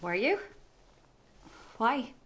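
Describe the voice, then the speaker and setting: An actress playing an awkward, curious young aspiring writer with a tentative, understated delivery in a radio drama. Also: female voice, on the high side, very animated, drawn-out words, exaggerated voice. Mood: awkward, apologetic. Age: young adult. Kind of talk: naturalistic radio drama acting.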